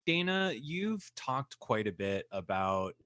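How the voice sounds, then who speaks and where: A man posing a press conference question as a journalist, measured and a little hesitant. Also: mid-range voice, big pitch swings, fairly bright tone.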